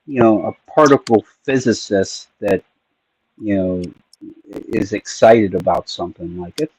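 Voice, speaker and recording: A man's voice: lowish voice, a little slow, a little mellow, breathy edge, poor audio. A man co-hosting a livestream, chatting casually, playful and jokey. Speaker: livestream co-host. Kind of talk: casual chat.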